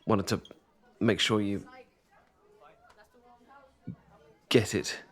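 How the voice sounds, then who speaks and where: A man's voice: lowish voice, steady tone, measured pace, fairly bright tone. A young British man playing a shy, self-deprecating student in an audio roleplay, hesitant and stammering as he talks to his best mate. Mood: nervous, hesitant. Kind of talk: ASMR boyfriend-style audio roleplay, casual monologue.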